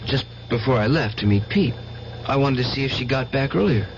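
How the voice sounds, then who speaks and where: An actor in a radio drama playing a defiant, grieving young nightclub performer obsessed with revenge, intense and insistent. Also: male voice, mid-range voice, fairly quick, lightly acted. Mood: uneasy, defensive. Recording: dull, noisy old radio recording.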